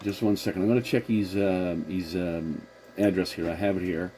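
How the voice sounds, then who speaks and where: A man's voice: low-pitched, fairly even intonation, normal speed. A man chatting casually as a CB radio operator, agreeable and a little hesitant, with a noisy recording. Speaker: CB radio operator hosting the contact.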